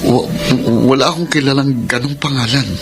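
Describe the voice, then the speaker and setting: A man's voice: mid-range voice, fairly even intonation, moderate pace, heavy background noise, close to an everyday voice. An actor with a Filipino accent playing a placating, defensive young man in a radio drama, hesitant and pleading. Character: defensive young boyfriend and respectful son, placating. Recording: music bed under the voice.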